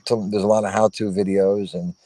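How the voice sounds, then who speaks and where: Male guest, very deep pitch, phone mic, earnest and repetitive, halting. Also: flat delivery, warm tone, no room sound. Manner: earnest, repeats his points, halting restarts. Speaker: guest, fellow show host. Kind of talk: casual livestream chat.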